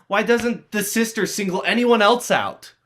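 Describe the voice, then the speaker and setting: A man's voice: high voice, a little slow, a bit bright. A man playing a defiant, sarcastic teenage schoolboy with a cheeky, melodramatic manner in a play reading. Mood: protesting. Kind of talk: dramatized play script reading.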